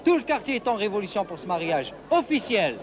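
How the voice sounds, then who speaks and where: Teasing working-class buddy, comic drama, high pitch, noisy busy street behind. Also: male voice, sing-song, brisk. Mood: teasing, knowing. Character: teasing, gossipy neighbourhood pal, working-class man. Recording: busy street noise and crowd.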